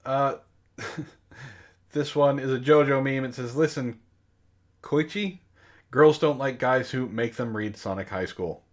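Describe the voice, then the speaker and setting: A male podcast host with a medium-pitched voice. He chats casually and comedically, bantering with his co-hosts in a mock-exasperated tone. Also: slow, long pauses. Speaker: book club host.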